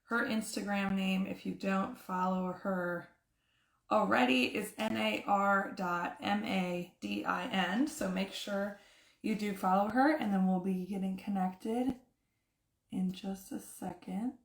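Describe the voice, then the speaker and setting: A woman hosting an interview series, upbeat and brisk as she asks her guest questions. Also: medium pitch, steady tone. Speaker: podcast host.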